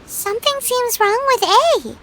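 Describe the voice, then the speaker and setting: Childlike floating game companion: piercingly high, excitable, blunt, unhurried emphatic phrasing, noisy background. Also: female voice, heavily acted. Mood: puzzled. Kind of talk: video game character dialogue.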